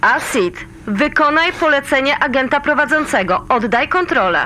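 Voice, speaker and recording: An actress playing a wry, authoritative female mission controller in a sci-fi audio drama, talking in a brisk colloquial way. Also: sits high, lively intonation, sharp tone, a little hiss or hum. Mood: firm.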